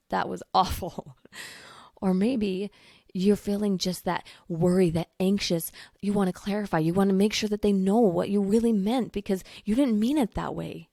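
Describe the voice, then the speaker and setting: A woman's voice: medium pitch, steady tone, moderate pace, creaky, no room sound, clean studio sound. A woman hosting a faith-based coaching podcast, her voice still recovering from lost voice, speaking earnestly and encouragingly. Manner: earnest, warm encouragement with soft laughs. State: voice recovering from laryngitis. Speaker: podcast host, life coach.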